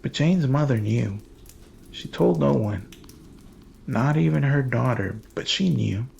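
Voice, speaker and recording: A man reading scripted horror narration in a grave, measured, ominous manner. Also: mid-range voice, animated, gravelly, a little mellow, dull audio, slight background noise.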